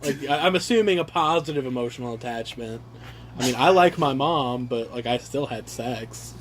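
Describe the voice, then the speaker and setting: A man casually reading aloud and riffing on the text with sarcastic, profane, animated asides, on an amateur home recording. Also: mid-range voice, moderate pace, a little hiss or hum. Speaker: reader riffing on a handbook aloud to his brothers. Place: casual room with brothers chiming in.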